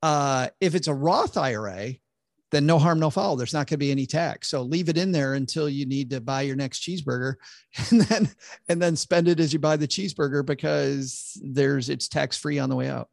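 Gravelly man explaining money matters. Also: on the high side, moderate pace, dry recording, studio quality. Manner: earnest, explains with frequent stammered restarts. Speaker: financial advisor guest. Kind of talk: conversational podcast interview.

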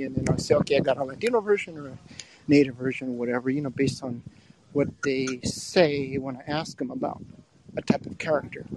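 A man's voice: a little high, background noise. A man calling into an audio chat to talk about his screenwriting, chatty and enthusiastic with lots of fillers. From United States. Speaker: caller. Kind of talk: casual chat.

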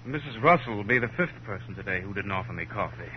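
Guy doing needling deadpan cop, lowish voice, old muffled radio crime drama. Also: fast talker, natural voice. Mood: sour, needling. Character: blunt, wisecracking male police detective, sour and unimpressed. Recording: muffled vintage radio recording, faint hum.

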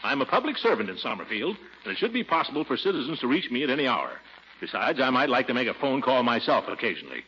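An actor playing a pompous, exasperated middle-aged uncle who rules the household in a 1940s radio comedy. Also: male voice, mid-range voice, sing-song, fast talker, a little hiss or hum, lightly acted. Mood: exasperated, stern. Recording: dull vintage radio recording, music behind.

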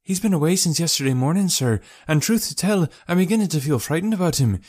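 A man's voice: fairly high pitch, animated, fast, a little mellow, pitched above the performer's own voice. A man voicing an anxious, talkative working-class English wife in an audiobook, folksy and deferential. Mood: chatty, worried. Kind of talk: audiobook character voice.

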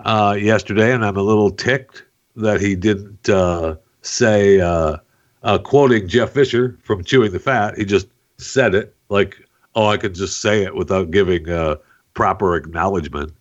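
Male podcast host, very low voice, dull lo-fi sound, joking, filler-heavy headline chat. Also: normal speed. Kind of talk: casual news chat.

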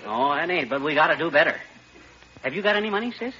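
A man's voice: on the high side, expressive, fast, a crowd in the background, lightly acted. Male actor as middle-aged married braggart, slangy and blustering, 1940s radio comedy, noisy muffled recording. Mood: hustling, sheepish.

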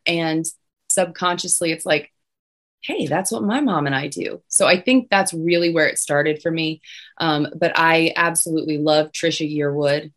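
A young woman singer with a low voice, chatting casually as an interview guest. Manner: chatty, many restarts and fillers.

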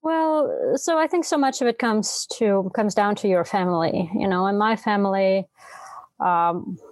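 A woman from Russia, an author guest on a podcast, answers in a measured, explanatory way. Her voice sits mid-range and sounds dry and close. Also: mid-range voice, a little mellow, dry recording. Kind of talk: interview answers.

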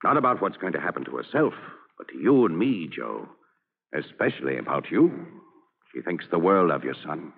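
A man's voice: low-pitched, big pitch swings, moderate pace, vocal fry, slightly rough, full character voice. An actor playing an upbeat, reassuring middle-aged American father, folksy and hearty, in old-time radio drama style. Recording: dull old radio recording.

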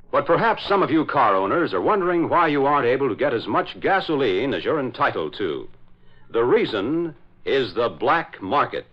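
A man announcing and narrating in formal, emphatic, dramatic 1940s radio style. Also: medium pitch. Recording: dull vintage radio recording.

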